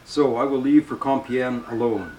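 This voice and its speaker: Man reading a stern old nun, steady and firm, echoey, bit of hiss. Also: medium pitch, close to an everyday voice. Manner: measured, firm. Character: calm older prioress, woman, stern and devout. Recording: roomy, distant mic, faint noise.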